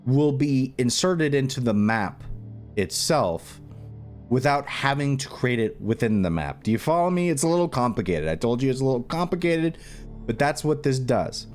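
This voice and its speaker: A man presenting an unscripted step-by-step screen tutorial, thinking aloud in a halting way as he explains. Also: mid-range voice, big pitch swings, a little mellow, soft background music.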